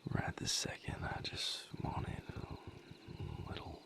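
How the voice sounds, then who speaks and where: A man playing a tender, weary vampire mate in an intimate audio roleplay, speaking softly and haltingly in a breathy, gravelly near-whisper. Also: background noise, natural voice. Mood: wistful, calm. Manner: unhurried, halting, soft-spoken with long pauses.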